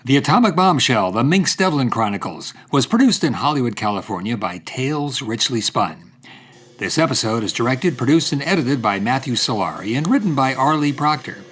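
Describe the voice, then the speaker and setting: A man's voice: medium pitch, very animated, brisk, a bit bright, breathy edge. A man reading scripted podcast credits as an announcer.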